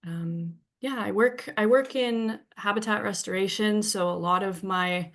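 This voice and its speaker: A woman's voice: medium pitch, unhurried. A young woman from Victoria, British Columbia, a little sick and sniffling, giving a friendly talk to a club audience from home, with many ums and restarts. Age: young adult. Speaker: guest presenter. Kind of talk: remote presentation talk. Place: home, presenting remotely.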